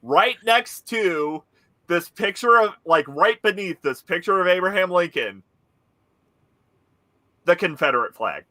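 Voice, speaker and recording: Slow, bright-voiced chatty man. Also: high-pitched, lively intonation, dry recording. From Pacific Northwest. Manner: halting storyteller, many fillers. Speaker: podcast cast member. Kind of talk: casual chat.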